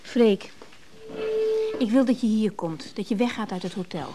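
A woman's voice: mid-range voice, normal speed, noisy background, natural voice. An actress playing an anxious married woman in a radio drama, tender, insistent and emotional, with hesitations. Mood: pleading, insistent. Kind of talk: naturalistic Dutch radio drama acting.

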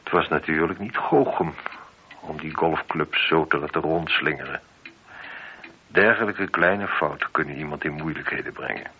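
An actor playing a shrewd, methodical chief police inspector in a radio play, speaking in a measured, probing and politely insistent way. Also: male voice, a bass voice. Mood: calm, knowing. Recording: dull, noisy old radio play recording.

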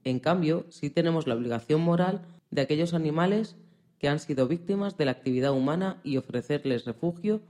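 A man's voice: fairly high pitch, brisk, mellow. A man presenting on a radio program, reading scripted narration.

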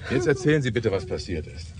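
Low-voiced, terse police detective. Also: male voice, sing-song, fast, crowd noise. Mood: probing. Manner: matter-of-fact, probing, terse. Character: businesslike, insistent male police detective. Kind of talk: German radio drama acting. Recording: noisy street with vehicle sounds.

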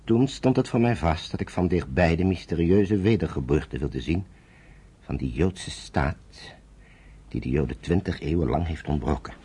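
An older retired general with a very husky, low voice. His delivery swings widely in pitch, formal and thoughtful, in the measured manner of a radio drama. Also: expressive, warm tone, exaggerated voice. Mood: searching, confessional. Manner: formal, deliberate, reflective, with dramatic pauses. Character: thoughtful retired English general, courteous and introspective.

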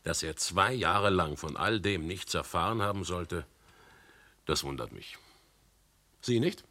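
A man's voice: low voice, expressive, unhurried, sharp tone, full character voice. An actor playing a wry, skeptical male police superior who talks a case over with an inspector in a radio drama.

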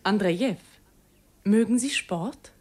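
An actress playing a playful, eager young daughter of a governor in a German radio drama, teasing and lively. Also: female voice, mid-range voice, slow. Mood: playful, pouting.

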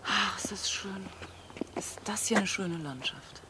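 A woman playing a soft-spoken, wondering visitor in naturalistic radio drama dialogue, quiet and breathy. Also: medium pitch, a little slow, close to an everyday voice. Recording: loud ambient noise, voice faint.

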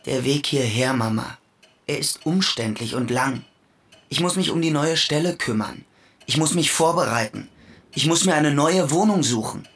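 An actor playing a young teacher in a radio play, restrained and husky, who later pleads with his mother for money. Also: on the high side, animated, normal speed, a bit bright, heavily acted. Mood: reserved. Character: adult son asking his widowed mother for money. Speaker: actor playing Adolf, a grammar-school teacher.

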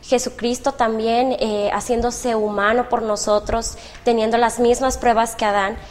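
A young woman with a Mexican accent, a medical student guest, who explains carefully and pauses to find her words. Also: fairly high pitch, flat delivery, big-room sound. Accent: Mexican Spanish. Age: young adult. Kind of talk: casual discussion.

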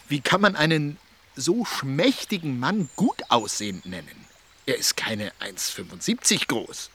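An actor playing a petulant, self-important young suitor who grumbles jealously, in a German radio play. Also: male voice, medium pitch, big pitch swings, takes it slowly, sharp tone, slightly rough, heavily acted. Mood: scornful.

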